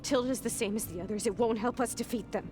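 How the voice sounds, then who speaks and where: A woman voicing a guarded, hurt young woman in a video game, speaking in hushed, halting phrases that turn defensive and raw. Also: fairly high pitch, lightly acted. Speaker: game character. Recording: game cutscene with music behind.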